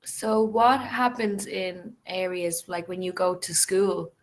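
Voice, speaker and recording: A woman's voice: on the low side, level tone, slow pace, low-quality recording. A woman tutoring English over a video call, asking short questions and prompting her student. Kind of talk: casual conversation practice.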